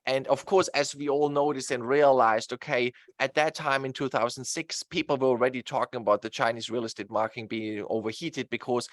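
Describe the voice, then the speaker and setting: A man's voice: mid-range voice, steady tone, fairly quick, few pauses, dry recording. A man with a German accent, a guest expert in an interview, explaining slides at length in a talkative way with many fillers. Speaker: guest real estate expert.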